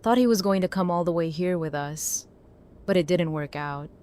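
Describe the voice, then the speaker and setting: A woman's voice: lowish voice, moderate pace, a little mellow, clean tone, natural voice. A woman playing a blunt, battle-worn apocalypse survivor in a naturalistic audio drama. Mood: candid.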